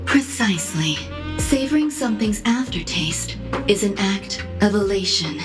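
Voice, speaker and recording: A quietly probing genius scientist, played by a woman in a video game scene. Her mid-pitched voice is composed, formal and deliberate, set over clear music. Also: animated, natural voice. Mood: calmly expository. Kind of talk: dramatic video game cutscene acting.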